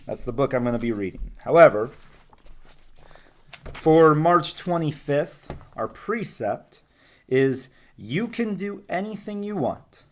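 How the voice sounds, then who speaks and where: Man reading aloud with very lively pitch. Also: takes it slowly. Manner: expressive, addresses young listeners directly. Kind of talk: storybook read-aloud with casual asides.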